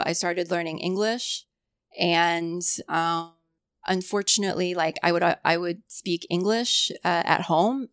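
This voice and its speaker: Woman, cantonese first language, casual podcast talk, reflective, smooth clear voice, dry close sound. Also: lowish voice, no room sound. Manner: reflective, heavy use of "like" and "you know".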